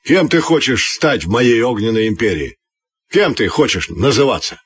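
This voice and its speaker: A haughty lump of Coal who rules a fiery empire, as a male storyteller voices him, speaks in a husky, imperious voice. He is proud and quarrelsome, a children's radio fairy-tale monarch. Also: medium pitch, big pitch swings, moderate pace, no room sound, soft background music. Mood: hot-tempered, haughty.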